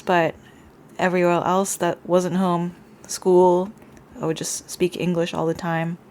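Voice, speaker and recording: Low-key American woman, lowish voice. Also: a little mellow, slight background noise. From United States. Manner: brief, low-key replies. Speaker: podcast co-host. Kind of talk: casual conversation.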